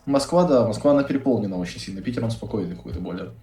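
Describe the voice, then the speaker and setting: An eighteen-year-old man from Russia, talking fast and bluntly with casual swearing on a video call. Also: on the low side, expressive, dark tone. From Saint Petersburg, Russia. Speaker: university economics student. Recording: echoey room, far from the mic.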